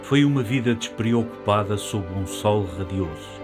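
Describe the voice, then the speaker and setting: A man's voice: fairly low pitch, moderate pace, over music. A middle-aged man from Portugal narrating a documentary in the first person, in a reflective, nostalgic manner. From Portugal, later living in France.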